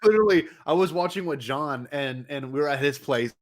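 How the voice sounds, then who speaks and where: A man's voice: on the high side, lively intonation, fairly quick. A man hosting a podcast in casual, joking chat, rambling and playfully hyping things up. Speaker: podcast host.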